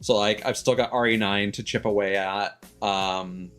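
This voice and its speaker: A man chatting casually as he hosts a game stream, rambling and halting, with stammers and offhand swearing. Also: lowish voice, fairly even intonation, a bit bright, clean tone. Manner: casually profane. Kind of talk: casual livestream chat. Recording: music playing behind the voice.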